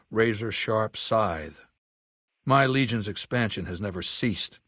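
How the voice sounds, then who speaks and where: An actor playing a ruthless, cultured warlord in a video game, speaking in a measured, imperious, matter-of-fact way. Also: male voice, lowish voice, a bit bright, no room sound, studio quality. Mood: proud, grandiose. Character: ruthless, cultured warlord dictator in middle age. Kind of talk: dramatic game dialogue monologue.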